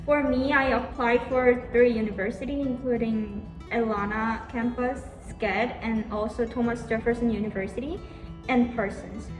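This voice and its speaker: Young Korean-born woman, hesitant, bright pitch. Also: fairly even intonation, normal speed, reverberant, soft background music, poor audio. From South Korea. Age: 20s. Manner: hesitant, many "um"s. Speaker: guest. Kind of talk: casual Q&A answers.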